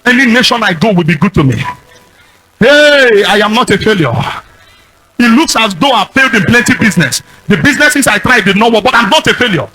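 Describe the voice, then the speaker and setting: A Nigerian man with a husky voice and a Nigerian accent, preaching a fiery charismatic sermon as a pastor, exhorting with repeated commands and declarations. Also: sits high, lively intonation, quick pace, bright, forceful tone, rough recording.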